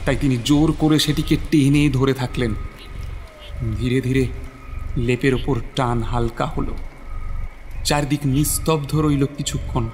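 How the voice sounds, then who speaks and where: A man's voice: medium pitch, fairly even intonation, unhurried, pauses often, a little mellow, slight background noise. A man narrating a scripted horror story in a suspenseful, theatrical manner. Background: prominent dramatic music.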